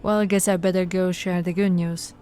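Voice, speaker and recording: A woman voicing a patient, teasing female police officer in an ASMR audio roleplay, speaking softly and coaxingly to the listener, recorded close to the mic. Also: fairly low pitch, level tone, a little fast, a little hiss or hum, lightly acted. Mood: matter-of-fact. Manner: soft-spoken, coaxing, playfully flirtatious. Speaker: ASMR voice actress.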